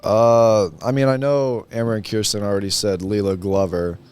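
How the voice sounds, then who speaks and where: A man's voice: on the low side, fairly even intonation, normal speed, a little mellow, clean tone, dry recording. A teenage male student guest chatting casually, with laid-back and often brief, noncommittal replies. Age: teens, high school senior. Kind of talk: casual chat.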